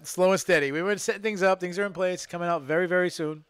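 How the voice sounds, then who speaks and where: A man's voice: sits high, fairly even intonation, fast, fairly bright tone, smooth, dry recording. A man hosting a casual live podcast, joking around and making mock announcements. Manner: joking, hype-announcer delivery. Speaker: podcast host.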